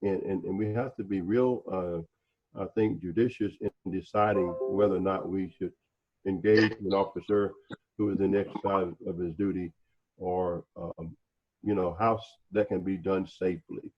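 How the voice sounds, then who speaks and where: A man speaks reflectively in a discussion, halting and deliberate, often repeating words as he searches for the next one. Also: deep voice, fairly even intonation, muffled recording. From North Carolina, United States. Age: 60s. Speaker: panel speaker, former special agent.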